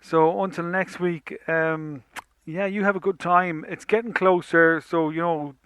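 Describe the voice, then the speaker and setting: Rambling radio DJ, dry and close. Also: male voice, fairly high pitch, fairly even intonation, fairly bright tone, clear, resonant tone, no echo. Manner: rambling, halting, with many fillers and restarts. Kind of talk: casual sign-off chat between tracks.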